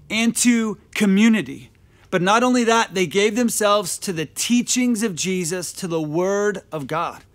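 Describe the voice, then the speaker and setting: A man in his thirties preaching a sermon on a dark stage, earnest, often repeating phrases as he builds his point. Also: sits high. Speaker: pastor.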